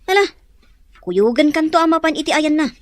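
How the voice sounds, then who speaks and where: A woman's voice: sits high, expressive, brisk, no room sound. A woman with a Filipino accent playing a frank, reproving close friend in a radio drama.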